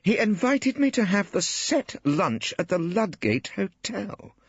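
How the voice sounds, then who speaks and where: A man's voice: high-pitched, sing-song, unhurried, husky, no room sound, highs cut off, voice pushed up, full character voice. A man voicing a female barrister, cool and sarcastic, with clipped delivery. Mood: indignant. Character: crisp, icy female barrister.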